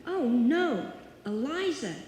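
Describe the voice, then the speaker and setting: A woman acting a nervous, fretful lady in a radio thriller. Her delivery is high and measured, full of dramatic worry, echoing through a slightly noisy hall. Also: animated. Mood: fretful, alarmed. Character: anxious, fretful woman friend, easily alarmed. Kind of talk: old time radio suspense acting. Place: live stage performance in a hall. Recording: very echoey, noisy live hall.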